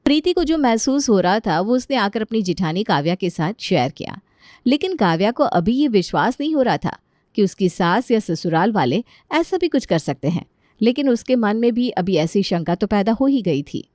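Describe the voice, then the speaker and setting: A woman's voice: mid-range voice, very animated, moderate pace, a little mellow, no room sound, clean studio sound. A woman reading a scripted story as narrator.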